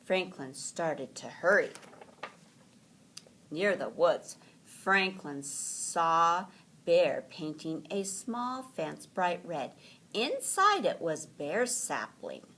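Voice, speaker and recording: Animated, unhurried woman reading a storybook. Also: on the low side. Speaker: teacher reading aloud. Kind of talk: expressive children's storybook read-aloud.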